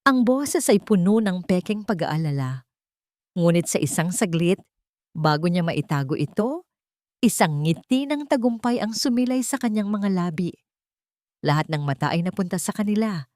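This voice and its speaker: A woman narrating a scripted romance story in a deliberate, emotive, dramatic way. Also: lowish voice, expressive, a little mellow, dry recording, clean studio sound.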